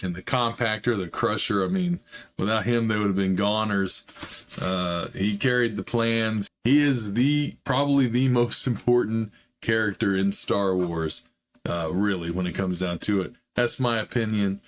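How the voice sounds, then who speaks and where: A man hosting a hobby model-building channel, talking in a casual, rambling way with frequent fillers, his voice slightly hoarse. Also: on the low side, moderate pace, slight background noise. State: slightly hoarse, recovering from a chest illness. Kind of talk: casual build vlog narration.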